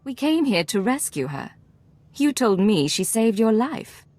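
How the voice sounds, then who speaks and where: An actress voicing a composed, authoritative woman intelligence agent in a video game, speaking in a measured, guarded, formal way. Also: fairly high pitch, big pitch swings, a little mellow, no room sound. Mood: stern. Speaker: player character in a video game. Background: music.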